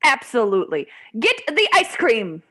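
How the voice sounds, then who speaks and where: A woman's voice: on the high side, huge pitch swings, normal speed, pushed and bright, heavily acted. A woman playing a protective, worried mother in a screenplay table read. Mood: warm, relenting.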